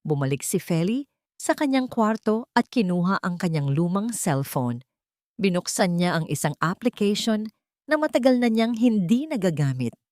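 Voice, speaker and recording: A woman's voice: mid-range voice, very animated, normal speed, dark tone, dry recording, studio quality. A woman storyteller reading a scripted, dramatic narration.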